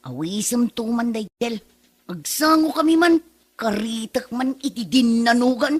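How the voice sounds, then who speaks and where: A woman's voice: a little high, animated, a little slow, husky. A woman with an Ilocano accent playing a blunt, nagging, easily affronted woman in a comedy radio drama. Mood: challenging.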